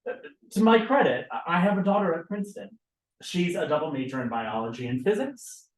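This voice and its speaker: A man's voice: fairly high pitch, lively intonation, a little fast, close to an everyday voice. A man acting an affable middle-aged documentary maker in a script read-through, hesitant and self-deprecating. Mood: sheepish, joking. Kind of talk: table read of a play script. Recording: echoey room.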